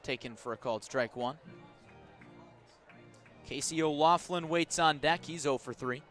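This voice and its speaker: Higher-pitched man calling baseball play-by-play. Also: a bit bright, faint music behind. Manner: measured delivery that rises on action calls. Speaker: baseball play-by-play radio announcer. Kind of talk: live sports commentary with descriptive asides. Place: outdoor ballpark broadcast position above the stands.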